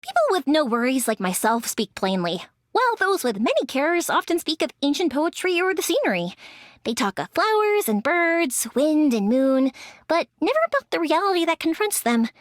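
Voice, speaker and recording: A woman's voice: high-pitched, normal speed, no echo. An actress voicing a cheerful, playful young woman who makes fireworks, in game character voice lines with a close studio mic. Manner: bubbly and lively, giggling, softens for serious stories.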